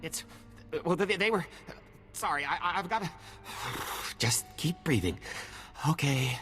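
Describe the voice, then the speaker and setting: An actor playing a nervous, timid former accountant in a video game, anxious and hesitant in delivery. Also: male voice, on the high side, expressive, measured pace, fairly bright tone, in a large hall, soft background music. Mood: panicked.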